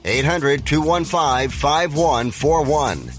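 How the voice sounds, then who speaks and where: A man announcing in a radio ad, spelling out details deliberately. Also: fairly high pitch, animated. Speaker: commercial announcer. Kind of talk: deliberate phone-number read in an ad. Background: faint music.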